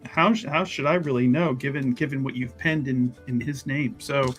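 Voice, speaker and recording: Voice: mid-range voice, fairly even intonation, moderate pace, breathy edge, soft background music, natural voice. A player voicing a shrewd church investigator in tabletop roleplay, speaking formally and wordily with hesitant, politely needling phrasing. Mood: politely probing. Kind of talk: improvised in-character tabletop roleplay.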